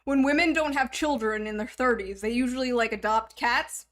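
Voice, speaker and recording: A woman's voice: fairly high pitch, a bit bright. A woman in her thirties chatting casually on a livestream, teasing her viewers, swearing freely and laughing often. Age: 30s. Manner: teasing, profane, quick to laugh. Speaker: streamer.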